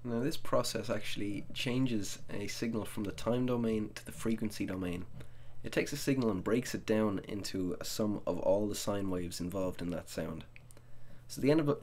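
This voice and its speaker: A man narrating a software tutorial as the instructor, explaining each step methodically. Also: lowish voice, slight background noise.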